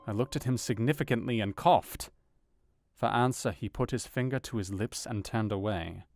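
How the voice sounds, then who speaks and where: Radio drama narrator: male actor as observant gentleman diarist, low, measured, close dry sound, faint music. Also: no echo, lightly acted. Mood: grave, watchful. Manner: measured, formal. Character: serious, observant gentleman recounting events in his diary.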